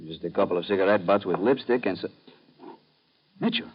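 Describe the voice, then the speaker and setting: An actor playing a courteous, measured police lieutenant in a vintage radio drama. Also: male voice, low voice, slightly rough. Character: polite male police lieutenant in Havana. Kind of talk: 1950s radio drama acting. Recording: dull vintage radio broadcast.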